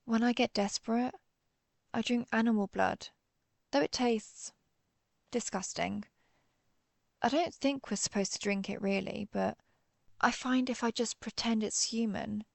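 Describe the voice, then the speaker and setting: A woman's voice: mid-range voice, vocal fry, dry recording. A woman playing a centuries-old vampire hunter turned vampire, guilt-ridden but resolute, in a somber, confessional audio roleplay monologue. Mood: weary, resigned. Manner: confessional, somber, measured storytelling.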